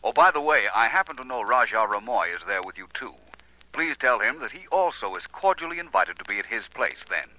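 An actor playing a suave, self-assured private detective in a 1940s radio mystery, speaking with polite, deliberate command. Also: male voice, medium pitch, sing-song, background noise, lightly acted. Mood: courteous. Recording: vintage 1940s radio recording.

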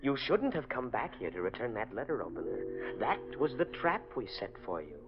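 Casting: a dramatic, accusing detective, lively intonation, old muffled radio mystery sound. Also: male voice, medium pitch, fairly quick, over music. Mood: grave, accusing. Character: male detective posing as a murdered doctor, accusing. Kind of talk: 1940s radio thriller acting. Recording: muffled vintage radio broadcast recording.

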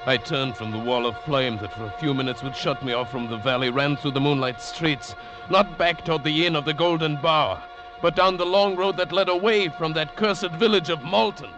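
A man's voice: on the high side, steady tone, brisk, hardly any pauses, close to an everyday voice, low-quality recording. An actor playing a haunted, tormented man telling of his ordeal with witchcraft, fervent and theatrical in 1940s radio style. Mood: grim. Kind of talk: 1940s radio drama acting, dramatic first-person narration. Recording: old radio broadcast with loud musical backing. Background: dramatic music.

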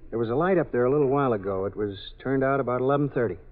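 A man's voice: mid-range voice, racing, lightly acted. An actor playing a police detective in a 1950s radio drama, reporting plainly and deferentially to his lieutenant. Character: dutiful police detective on stakeout. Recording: muffled 1950s radio broadcast.

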